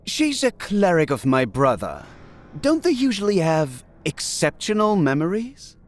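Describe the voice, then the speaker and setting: An actor playing an enigmatic, knowing god of deception in a fantasy audio drama, speaking in a measured, formal, cryptic way. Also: male voice, high-pitched, very animated. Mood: thoughtful. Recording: music bed under the voice.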